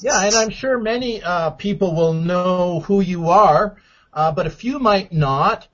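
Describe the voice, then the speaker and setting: A man hosting a radio interview, introducing his guest and topics in a measured, deliberate way. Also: high-pitched. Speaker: radio show host. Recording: dull lo-fi sound.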